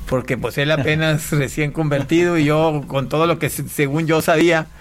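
A man from Panama, an interview guest, giving a personal testimony in a casual, halting way with repeated words. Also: on the high side, steady tone.

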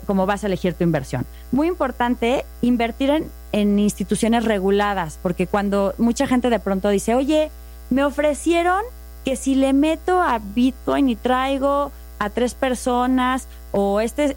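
A woman's voice: mid-range voice, a little mellow. A woman with a Mexican accent, explaining saving and investing in a patient, methodical chat, walking through worked examples. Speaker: finance guest.

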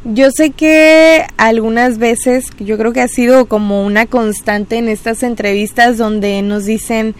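A woman's voice: a little high, a little mellow. A woman hosting a casual radio interview, chatty and encouraging, with many filler words. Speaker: radio and social media host.

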